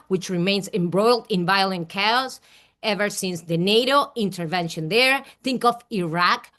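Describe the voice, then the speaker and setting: A woman from Peru giving an earnest, deliberate political speech at a rally over a remote call, with small restarts. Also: medium pitch, fairly bright tone. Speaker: rally speaker. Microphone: remote video call.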